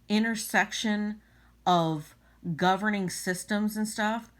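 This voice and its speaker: A woman vlogger giving unscripted political commentary in a halting, emphatic way. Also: medium pitch.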